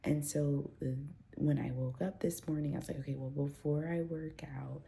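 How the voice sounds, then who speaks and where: A woman's voice: sits low, fairly even intonation, normal speed, gravelly, mellow. A woman in a casual home vlog, rambling and chatty with many fillers, a little congested from a cold, filmed on her phone. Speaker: vlogger. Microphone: phone camera.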